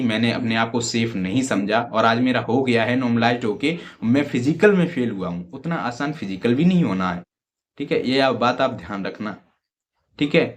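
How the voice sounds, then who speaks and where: A man giving informal, emphatic advice to students as an online exam educator, repeating points and asking rhetorical questions. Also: medium pitch. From Uttar Pradesh, India. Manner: emphatic, repetitive, rhetorical questions, frequent check-in tags. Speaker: exam-prep YouTube educator.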